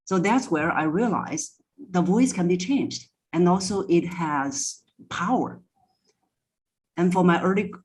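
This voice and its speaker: A woman's voice: sits low, a little mellow, rough recording. A woman speaking as a guest voice coach, explaining her points in an interview.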